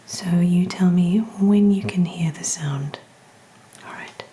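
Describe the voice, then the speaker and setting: A woman playing a calm, attentive clinician in an ASMR roleplay, speaking in a breathy, gentle, reassuring whisper. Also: fairly low pitch, slow, a little hiss or hum, low-quality recording. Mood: gentle, soothing. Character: calm, attentive clinician giving an ear exam. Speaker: ASMR performer. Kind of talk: soft-spoken ASMR roleplay.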